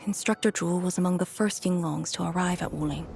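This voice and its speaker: Casting: brooding female game warrior, lowish gravelly voice, dry and blunt. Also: steady tone, fast, darkish tone, faint music behind. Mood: solemn. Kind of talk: video game voice acting.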